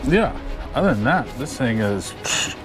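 A man co-hosts an outdoor cooking show in a relaxed, chatty way. His voice moves lively up and down in pitch as he walks through each step. Music plays clearly behind him. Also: measured pace. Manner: relaxed, chatty, explains steps. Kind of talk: casual cooking demo. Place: outdoor campsite.

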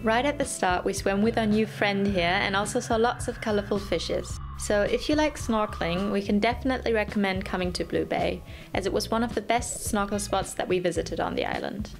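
A young woman giving a calm voice-over recap of a travel day. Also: medium pitch, brisk, vocal fry, hardly any pauses. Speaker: travel vlogger. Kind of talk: voice-over narration. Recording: music bed underneath.

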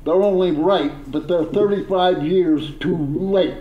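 A man's voice: sits high, measured pace, echoey room, dull audio, slight background noise. Blunt, agitated older man at a hearing. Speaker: public commenter. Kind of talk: impassioned public comment. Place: meeting hall at a podium. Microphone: podium microphone.

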